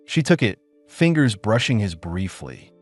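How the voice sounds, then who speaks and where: A man with a low voice narrates a story in a scripted, dramatic way. His pitch rises and falls in a lively manner. Faint music plays behind him. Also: measured pace. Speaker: audiobook narrator.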